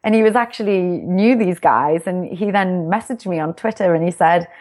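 A woman's voice: lowish voice, normal speed, darkish tone. A young woman from the UK, a success coach, talking as an interview guest in an upbeat, reflective way. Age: young adult. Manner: bubbly, upbeat, self-reflective. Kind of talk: casual interview chat.

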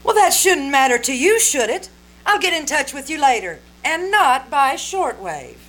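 A woman's voice: high voice, very animated, normal speed, a bit bright, slight background noise. A woman playing a prim, tart young wife in an old-time radio comedy, arch, polite and theatrical. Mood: tart, icy.

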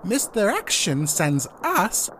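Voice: mid-range voice, huge pitch swings, slow pace, fairly bright tone, slight background noise, exaggerated voice. An actor playing an earnest rookie detective who talks formally and precisely in a comedic noir audio play. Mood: bluffing, formal.

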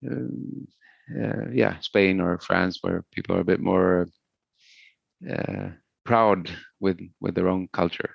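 Middle aged swedish guy, native swedish, low creaky voice, halting musician interview chat, kinda dull audio. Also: steady tone, dry recording. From Sweden. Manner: hesitant, halting, thoughtful, searching for words. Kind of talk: casual interview conversation.

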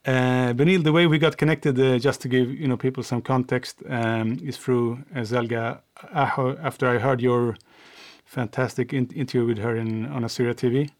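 This is a man with a Swedish accent who hosts a podcast and speaks English as a second language. His pitch sits in the middle of the male range. He talks in a friendly, conversational way, pausing often on fillers. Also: fairly even intonation, no echo. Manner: friendly, hesitant with frequent fillers. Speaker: podcast host.

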